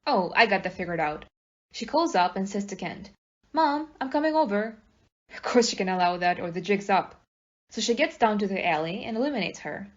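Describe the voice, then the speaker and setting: Brash, streetwise police inspector acted by a woman for an audio play; medium-pitched, slangy and plain-spoken, on a dullish lo-fi recording. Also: expressive, fairly quick, lightly acted. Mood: confident. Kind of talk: amateur audio play acting.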